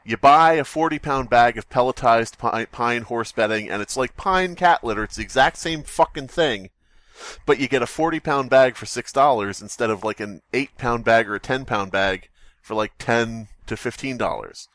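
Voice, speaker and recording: A man's voice: mid-range voice, normal speed, fairly bright tone, dry recording. A man hosting a podcast, ranting in an exasperated, profane way about a store. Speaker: podcast host. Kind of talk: comedic rant.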